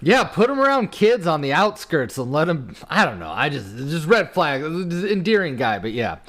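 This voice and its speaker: A man with a fairly high, very animated voice banters casually as a podcast co-host. He is blunt and irreverent, tossing out crude jokes with big swings in intonation. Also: moderate pace.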